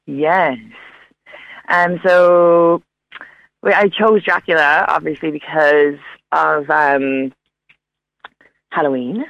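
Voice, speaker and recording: A woman talks as a guest on a radio show, chatty and enthusiastic. Her voice has a gravelly fry to it. The line is a muffled, dry phone connection. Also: deep voice, steady tone, slow pace, pauses often, a bit bright, no echo. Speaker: radio interview guest, Irish studies academic. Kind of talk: casual radio interview chat. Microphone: phone call.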